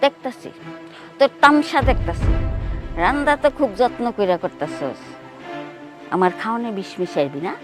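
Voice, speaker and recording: A scornful Bangladeshi village matriarch from a family melodrama. Her voice is high and swings widely as she berates and accuses, with faint music behind her. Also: big pitch swings, normal speed, long pauses. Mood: suspicious, accusing. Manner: scolding, sneering, accusatory. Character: abusive, scornful mother-in-law, bitter village matriarch. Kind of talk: melodramatic TV drama acting.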